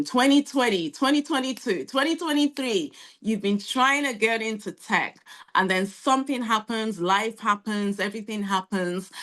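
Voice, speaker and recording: Woman, webinar host, direct, encouraging, mid pitch. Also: a bit bright. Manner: direct, encouraging, rallying the audience. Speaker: online course presenter. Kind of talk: motivational webinar talk. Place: online webinar.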